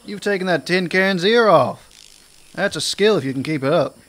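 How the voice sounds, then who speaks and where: Gruff weary marshal, blunt, background noise, radio drama. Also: male voice, high-pitched, animated, moderate pace.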